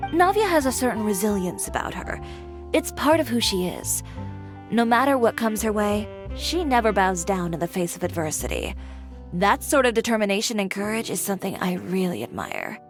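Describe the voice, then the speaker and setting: A woman's voice: mid-range voice, big pitch swings, moderate pace. A woman voicing a confident, businesslike boutique owner with a composed, matter-of-fact way of talking. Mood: admiring. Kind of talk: video game voice acting. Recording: game audio with music behind. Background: game music.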